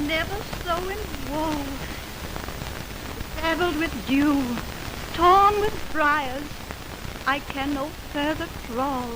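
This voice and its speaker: A heartbroken young maiden in a 1920s radio Shakespeare play. Her voice is very high and slow, drawing out each plaintive phrase with theatrical sorrow. The old recording is hissy and noisy. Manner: theatrical, plaintive, drawn-out sighing delivery. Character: weary, heartbroken young Athenian women lost in woods.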